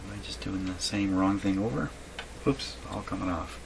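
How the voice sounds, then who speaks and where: A man giving unscripted, halting commentary as he thinks aloud while doing hands-on repair work. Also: sits low. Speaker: radio repair hobbyist narrating a workshop video. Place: indoor radio repair workshop. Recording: clearly noisy workshop recording.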